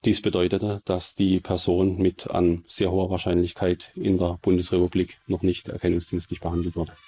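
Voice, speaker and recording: A man's voice: sits low, completely flat delivery, normal speed, warm tone. A man explaining formally as a forensic police expert in a documentary interview, in dry official wording.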